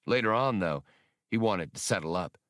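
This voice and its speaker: A man's voice: lowish voice, expressive, normal speed, a bit bright, dry recording, clean studio sound. Man playing a cocky, brusque space smuggler. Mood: guarded. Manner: brusque, casual. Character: cocky male space smuggler, brash and streetwise.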